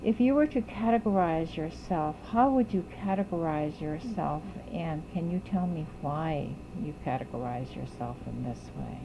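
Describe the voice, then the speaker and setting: A woman interviewer asking deliberate, formally worded questions in an oral history interview. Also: low voice, animated, lo-fi sound, noisy background.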